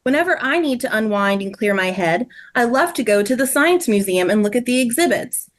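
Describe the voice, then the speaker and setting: A woman playing a sweet, eager, helpful friend in a children's story table read, with friendly, expressive delivery. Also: fairly high pitch, fairly quick, natural voice.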